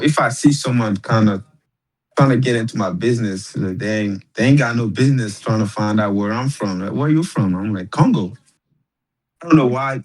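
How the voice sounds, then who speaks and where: Slangy, halting man hosting casually. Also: fairly low pitch, darkish tone, rough recording. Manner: slangy, halting, repeats words. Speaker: podcast host. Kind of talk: casual chat.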